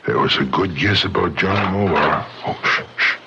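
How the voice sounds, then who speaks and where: A watchful police official in a vintage radio mystery, terse and authoritative. His voice is low. The old recording is muffled, with loud noise. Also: moderate pace, creaky. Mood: hushed, watchful. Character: watchful, commanding police official lying in wait.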